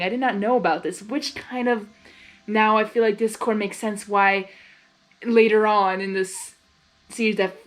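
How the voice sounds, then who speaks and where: A woman reads a story aloud in an expressive, informal way. Her voice sits at an average pitch and her manner is giggly and casual. Soft music plays behind her. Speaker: fan fiction reader.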